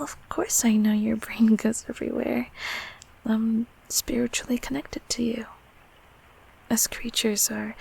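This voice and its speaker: A woman plays a kindly, apologetic monster from a childhood closet in an intimate audio roleplay. The voice is a high, very husky whisper with a creaky edge. It speaks softly and haltingly, soothing and protective. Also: exaggerated voice, low-quality recording. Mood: sheepish, gentle. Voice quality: breathy, raspy whisper.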